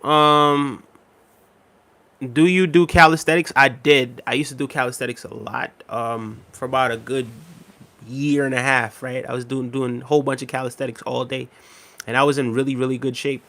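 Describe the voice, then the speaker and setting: Gravelly man chatting on a livestream. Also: medium pitch, moderate pace. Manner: relaxed, repeats himself, many fillers. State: a little unwell and tired. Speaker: livestream host. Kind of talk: casual livestream chat reading viewer comments.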